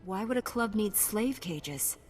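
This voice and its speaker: A woman's voice: mid-range voice, lively intonation, measured pace, a little mellow, long reverb tail, a little hiss or hum, natural voice. A woman playing a firm, righteous young female knight in a sci-fi video game cutscene. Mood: calm, concerned.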